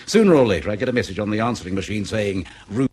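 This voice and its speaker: A man's voice: lowish voice, animated, very fast, a little mellow, husky. An actor playing a bitter, defensive male spy handler under questioning in a radio drama, blunt and insistent. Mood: matter-of-fact. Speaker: field intelligence officer being interrogated.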